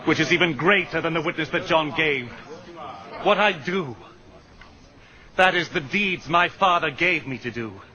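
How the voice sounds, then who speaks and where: A fervent holy man in a bible movie, high voice, declaiming, muffled audio. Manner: declamatory, emphatic, swinging from hushed to shouting. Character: Jesus, fervent male preacher challenging unbelievers. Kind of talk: dramatic film acting of scripture. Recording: muffled film soundtrack with loud noise.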